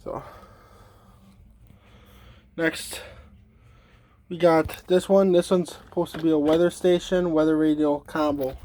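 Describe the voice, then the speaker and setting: Higher-voiced man giving a halting casual demo. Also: steady tone, dark tone, slight background noise. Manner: halting, trails off mid-thought. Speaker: hobbyist demonstrating a weather radio collection. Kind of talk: casual unscripted product demonstration.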